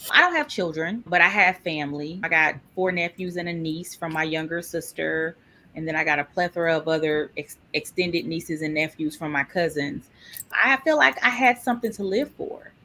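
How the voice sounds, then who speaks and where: Bright, animated woman telling her story. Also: low voice, normal speed. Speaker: guest. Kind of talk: casual interview talk.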